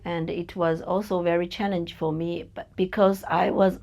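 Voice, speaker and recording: A woman's voice: on the low side, level tone, normal speed, darkish tone. A woman with a Chinese accent, a native Chinese speaker, talking in a personal interview as a podcast guest. She is hesitant and searches for words, with many fillers. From China.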